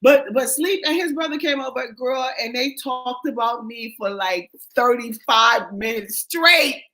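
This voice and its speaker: A woman hosting a podcast, telling stories in a loud, profane, animated way. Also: on the high side, measured pace. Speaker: podcast host. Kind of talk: casual chat.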